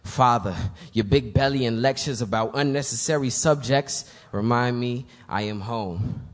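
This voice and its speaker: A man recites spoken-word poetry in a medium-pitched voice. His delivery is earnest and rhythmic, broken into short phrases. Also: moderate pace. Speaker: slam poet.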